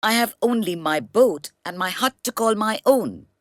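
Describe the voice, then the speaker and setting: A woman's voice: mid-range voice, animated, normal speed, no echo, studio quality, close to an everyday voice. A woman voicing an eager, humble young boat boy in a children's storybook, polite and earnest. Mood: humbly proud. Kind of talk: children's storybook read-aloud.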